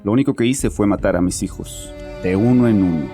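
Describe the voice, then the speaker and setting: A man's voice: on the low side, a little fast, natural voice. An actor playing a bitter, anguished man in his late twenties confessing to a therapist in an audio drama, defensive and scornful. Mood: grim, insistent. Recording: music under the voice.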